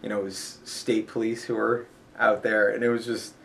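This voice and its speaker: A man's voice: on the low side, little pitch movement, moderate pace, creaky, fairly bright tone, slight background noise. Rambling, sniffly man telling a road story. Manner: rambling, chatty, with fillers. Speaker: vlogger. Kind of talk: casual chat about a road trip. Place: hotel.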